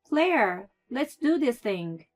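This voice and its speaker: A woman's voice: high voice, sing-song, unhurried, faint music behind, voice pushed up, heavily acted. A woman voicing a cheerful, good-natured young sister-in-law who talks in a friendly way. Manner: bright, friendly.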